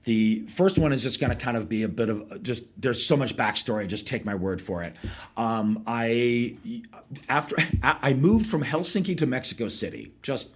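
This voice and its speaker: A man's voice: mid-range voice, moderate pace, breathy edge. A man in his forties from the United States, a podcast guest telling a long story in a chatty, digressive way and laughing at his own asides. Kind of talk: casual storytelling conversation.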